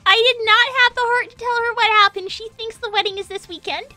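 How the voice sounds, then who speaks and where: A woman's voice: extremely high pitch, fairly even intonation, fast talker, sharp tone, faint music behind, exaggerated voice. A woman voicing a sweet, lovestruck young female cartoon hedgehog who speaks in an earnest, insistent, girlish way. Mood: apologetic, worried. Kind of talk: improvised in-character livestream Q&A.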